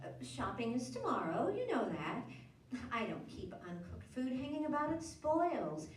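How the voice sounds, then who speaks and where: A woman's voice: fairly high pitch, very animated, normal speed. A woman acting a fretful, practical, skeptical housewife and mother in a radio drama. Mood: doubtful, fussy. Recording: roomy, distant, noisy amateur radio-play recording.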